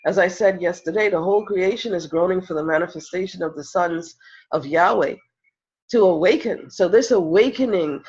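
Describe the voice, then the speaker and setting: A woman with a cold and a slightly nasal voice, giving a slow, emphatic spiritual pep talk that repeats phrases as it builds. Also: on the low side, a little mellow, husky. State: has a cold, a little nasal. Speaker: spiritual life coach. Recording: dull lo-fi recording.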